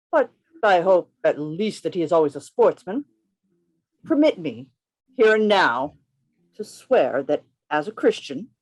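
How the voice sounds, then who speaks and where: Man acting a florid gentleman poet, lively pitch. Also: full character voice, poor audio. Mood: wry, gallant. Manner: florid, formal, theatrical. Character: witty, chivalrous gentleman poet narrating his own adventure. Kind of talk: radio play reading, literary first-person narration. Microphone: video call. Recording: video call reading with music behind.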